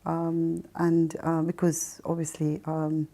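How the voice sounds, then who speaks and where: A middle-aged woman from Kenya and England giving a reflective personal testimony in a hesitant, halting manner with pauses mid-phrase. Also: sits low, monotone, warm tone. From Kenya, raised in Mombasa and then England. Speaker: interview guest telling her life story.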